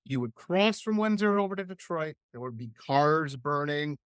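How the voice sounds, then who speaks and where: A middle-aged man hosting a radio interview show, chatting with an enthusiastic, animated manner. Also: a little high, normal speed, clear, resonant tone, no echo. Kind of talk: casual interview chat.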